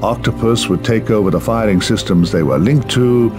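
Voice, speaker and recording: An actor playing a weary, urbane old spymaster in a video game, musing theatrically and cryptically in drawn-out phrases. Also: male voice, on the low side, over music, lightly acted. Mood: grave. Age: older. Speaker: mysterious spymaster. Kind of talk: video game cutscene dialogue and narration.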